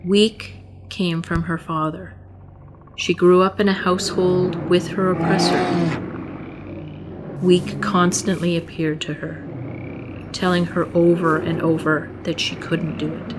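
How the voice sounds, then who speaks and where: Slow, low-voiced woman narrating. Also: little pitch movement, noisy background. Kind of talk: scripted story narration for a short film.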